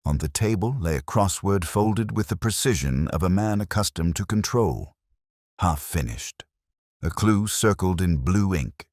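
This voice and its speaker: A man playing a weary, middle-aged police inspector who narrates his case in a measured, literary, brooding manner. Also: sits low, animated, darkish tone, dry recording, clean studio sound. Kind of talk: scripted first-person detective audio drama narration.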